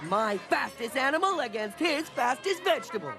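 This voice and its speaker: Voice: high voice, very animated, normal speed. An actor playing a childlike, boastful, dim-witted jungle man in a cartoon, speaking naively. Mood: determined. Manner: naive, speaks of himself in third person. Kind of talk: exaggerated cartoon voice acting. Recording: music behind the voice.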